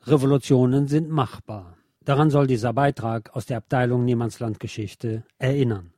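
A man reads a scripted history feature as presenter, in a formal, measured way. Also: mid-range voice, a little mellow, no echo, studio quality. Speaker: radio show presenter.

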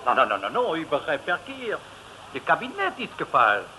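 An actor playing a flustered, pompous French prime minister with a French accent in a radio comedy. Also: male voice, fairly high pitch, wildly swooping pitch, a little fast, pushed and bright, exaggerated voice. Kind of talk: 1950s radio comedy acting. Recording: noisy old radio recording.

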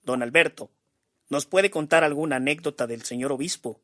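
An actor playing an eager, deferential servant in a radio drama, coaxing in a husky voice. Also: male voice, on the high side, moderate pace, fairly bright tone, lightly acted.

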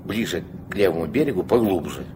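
An actor in a Soviet radio drama playing a gruff, commanding old riverboat captain who gives curt orders in folksy speech. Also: male voice, sits low, lively intonation, quick pace. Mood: grumbling, instructive. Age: older. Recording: noisy 1970s radio play recording.

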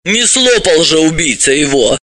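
A man's voice: fairly high pitch, very animated, normal speed, a bit bright, dry recording. A man voicing a good-natured woman company boss who is curious and easily surprised, in audiobook dialogue. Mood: speculating. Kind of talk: audiobook character voice.

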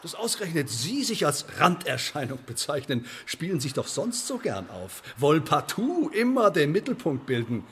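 An actor in a German radio drama playing a pompous, pedantic sheriff who interrogates in stiff officialese. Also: male voice, a little high, sing-song, moderate pace, few pauses, a little hiss or hum. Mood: officious, accusing.

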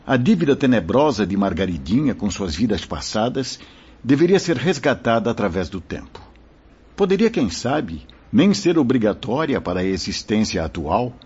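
A Brazilian man playing a kindly, solemn spirit mentor who exhorts in a measured, preacherly way in a radio drama. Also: lowish voice, a little mellow, husky, exaggerated voice. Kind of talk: Portuguese radio drama acting. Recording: dull lo-fi audio.

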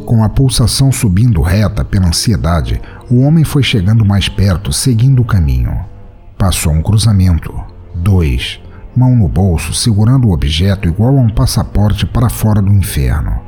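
A man's voice: on the low side, very animated, normal speed, dark tone. A Brazilian man narrating fiction from a script in a dramatic, wry, ironic storytelling manner. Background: music.

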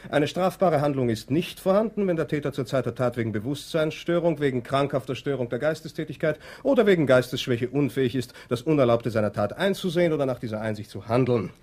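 Actor as husky, officious Nazi doctor. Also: male voice, medium pitch, expressive, quick pace, hardly any pauses, a little mellow, lightly acted. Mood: formal. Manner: clipped, officious, stiffly polite. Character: coldly zealous Nazi male doctor, stiffly formal subordinate. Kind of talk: 1960 German radio play acting.

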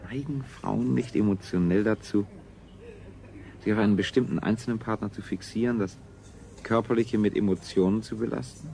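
An actor playing a cold, controlling scientist-ruler in a radio play, speaking in a clinical, formal, measured way. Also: male voice, on the low side, gravelly, warm tone. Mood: clinical, detached. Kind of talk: 1970s German radio play acting.

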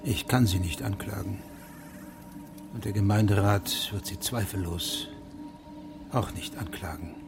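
An actor playing a weary, evasive judge in a German radio drama, speaking in a measured, restrained way. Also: male voice, fairly low pitch. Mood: resigned, low. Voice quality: gravelly. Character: weary, evasive male judge leaving a village. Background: music.

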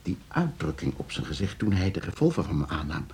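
Smug, cold-blooded schemer, animated pitch swings. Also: male voice, fairly quick. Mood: coolly explaining. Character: smug, cold-blooded schemer playing a sinister game with friends. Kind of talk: radio play acting.

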